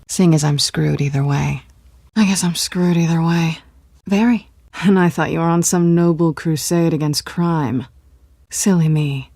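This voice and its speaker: A woman's voice: low-pitched, measured pace, warm tone, no echo, studio quality. An American woman in her thirties playing a sly, self-assured cat burglar in a video game, teasing, flirtatious and sardonic.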